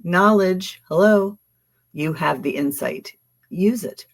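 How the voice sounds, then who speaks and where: Woman, Philadelphia accent, very gravelly, husky tone, chatty tarot reader, lots of ums. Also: fairly low pitch, slow pace. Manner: chatty and affectionate, with frequent ums and restarts. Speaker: tarot card reader hosting a livestream. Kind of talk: casual livestream card readings.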